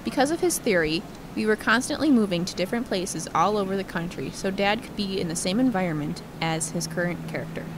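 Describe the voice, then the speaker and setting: A reflective adult narrator, played by a woman, tells her story in a measured way. Her voice is medium in pitch, in the style of scripted radio drama narration. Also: lively intonation, background noise, close to an everyday voice. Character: reflective grown woman recalling haunted childhood.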